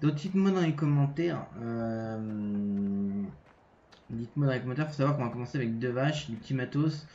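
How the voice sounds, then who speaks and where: A man's voice: medium pitch, lively intonation, moderate pace, warm tone, reverberant, highs cut off. A French man with a cold giving a casual, hesitant game walkthrough, full of fillers and restarts. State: has a cold. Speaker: gaming channel host.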